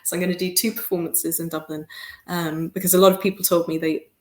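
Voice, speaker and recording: A woman with a London accent, an articulate and expressive podcast guest in casual conversation who laughs often. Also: fairly low pitch, quick pace, roomy sound. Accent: London English. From London, England. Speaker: podcast guest, theatre maker.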